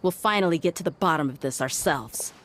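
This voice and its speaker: A woman's voice: deep voice, brisk, slight background noise. An actress voicing a tough, blunt, confident young mercenary woman in a video game, speaking assertively with a sardonic, threatening edge. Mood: satisfied, commanding.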